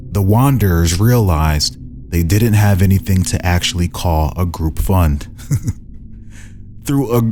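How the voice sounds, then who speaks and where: A man's voice: lowish voice, darkish tone. A man reading a fantasy story aloud as a podcast host, in an informal manner, breaking off for casual asides. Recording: background music under the voice.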